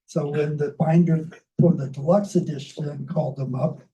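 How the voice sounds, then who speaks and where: Mellow-voiced man explaining. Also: a little high, steady tone, normal speed, highs cut off. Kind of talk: book event talk.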